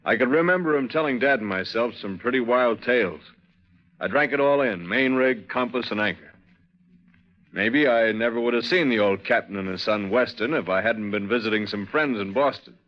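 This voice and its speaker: A man's voice: mid-range voice, normal speed, natural voice. Green young seafarer, played by a man: earnest, easygoing, plain-spoken, 1940s radio drama, dull vintage recording. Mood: reminiscing. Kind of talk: 1940s radio drama acting, first-person narration and dialogue.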